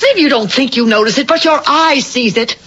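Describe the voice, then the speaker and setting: An actress playing an anxious, insistent wife haunted by foreboding, in an earnest, emphatic 1980 radio drama style. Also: female voice, high-pitched, fairly quick, a bit bright, breathy edge. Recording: dull lo-fi old radio recording.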